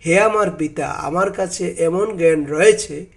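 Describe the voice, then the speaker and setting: A man reading out and explaining a translation of scripture as a religious narrator, deliberately and with some repeated phrases. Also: high-pitched, vocal fry.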